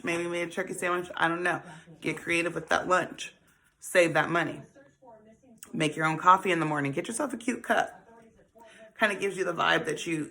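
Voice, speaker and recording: A woman's voice: lowish voice, gravelly, pushed and bright. A woman in her forties hosting a casual talk on saving money, chatty and laughing often, calling her listeners honey and baby. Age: 40s. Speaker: host. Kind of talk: casual financial advice talk.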